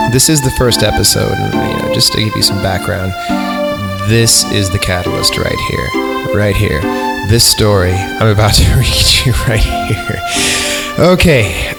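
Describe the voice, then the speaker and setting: A man hosting a podcast, reading a story aloud and breaking off into rambling, irreverent joking asides. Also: on the low side. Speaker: podcast host.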